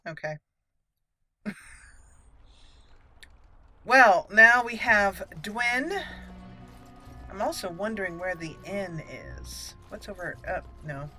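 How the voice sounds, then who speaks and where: A woman's voice: medium pitch, slow pace, long pauses, sharp tone, faint music behind. A woman hosting a roleplay let's play, chatty and playful, thinking aloud as she goes. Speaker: gaming host.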